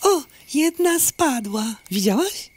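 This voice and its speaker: A woman's voice: high voice, sing-song, takes it slowly, full character voice. A woman playing a dreamy, girlish female insect in an audio drama.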